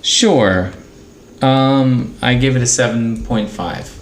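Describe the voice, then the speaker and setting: A man's voice: mid-range voice, slow pace. A man co-hosting a podcast, talking casually about a television show. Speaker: podcast co-host. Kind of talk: casual TV rewatch chat.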